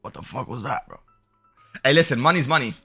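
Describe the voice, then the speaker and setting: Male game streamer, sharp forward tone, chatty and slangy, mid-range voice, music underneath. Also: medium pitch, expressive, a little fast, slightly rough. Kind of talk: casual livestream commentary, reading game dialogue aloud.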